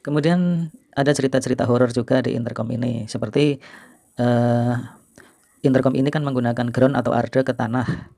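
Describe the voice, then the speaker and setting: A middle-aged man narrates memories informally for his viewers. His voice is mellow and gravelly, with little movement in pitch. He explains things without hurry, in a very dry-sounding recording. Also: steady tone, normal speed, long pauses, dry recording. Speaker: video host and storyteller.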